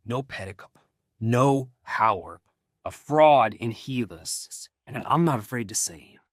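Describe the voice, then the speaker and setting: A man's voice: medium pitch, lively intonation, a little slow, no echo, studio quality. A man playing an angry, arrogant rich ex-husband in an audio drama, menacing and scornful. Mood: threatening, scornful.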